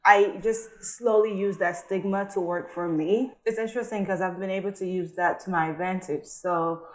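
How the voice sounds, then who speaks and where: A young adult woman from Guinea, West Africa, chatting casually as an interview guest in an upbeat, animated way with lots of fillers. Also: medium pitch, moderate pace. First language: Fulani. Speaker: model and guest.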